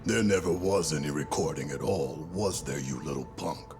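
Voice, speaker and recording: An actor playing a cruel, gloating yakuza villain and former boxer in a video game cutscene, taunting and smug. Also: male voice, a bass voice, normal speed, over music. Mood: grim realisation.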